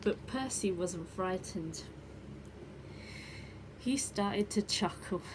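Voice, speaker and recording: Female storyteller, children's bedtime reading, unhurried pace, animated intonation, dramatic emphasis, noticeable background noise. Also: medium pitch.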